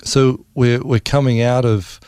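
A man's voice: medium pitch, steady tone, measured pace, darkish tone, smooth, no room sound, clean studio sound. A middle-aged man with an Australian accent, telling his personal story as an interview guest in an earnest, emphatic way, repeating phrases for stress. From rural New South Wales, Australia. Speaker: interview guest, ministry CEO and former army serviceman. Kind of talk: personal testimony in a conversational interview.

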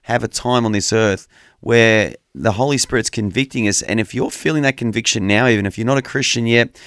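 A man's voice: mid-range voice, a little fast, a bit bright, no room sound, studio quality. Earnest man preaching over radio. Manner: earnest, preacherly, addresses co-host by name. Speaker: radio host. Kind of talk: conversational Bible teaching on radio.

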